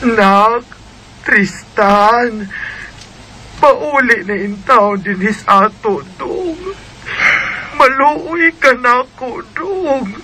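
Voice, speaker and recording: A man's voice: very high-pitched, lively intonation, extremely slow, pauses often, bright, forceful tone, a little hiss or hum, exaggerated voice, rough recording. A man voicing a worried mother who pleads with her grown son in a radio drama. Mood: tender worried. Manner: begging, tearful pleading.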